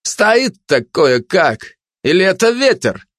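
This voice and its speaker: A man's voice: high voice, big pitch swings, takes it slowly, a bit bright, no echo, studio quality, voice pushed up, exaggerated voice. A man playing a haughty, menacing dog in an audiobook, sneering and pompous as he threatens and declares. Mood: mocking. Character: haughty menacing fascist dog, mocking gang tough.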